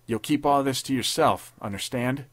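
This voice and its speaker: A man playing a tough, vengeful army captain who speaks in gruff, threatening tones. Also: medium pitch, moderate pace, no echo, voice pushed up, lightly acted. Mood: menacing.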